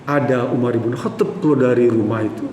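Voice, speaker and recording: A man's voice: mid-range voice, fairly even intonation, a little fast, darkish tone, slight background noise. A man giving a sermon, telling stories and often addressing the listeners as "Pak". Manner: addresses the audience as "Pak", tells stories with acted quotes. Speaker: preacher. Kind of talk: Islamic sermon storytelling. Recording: echoey hall with faint ambience.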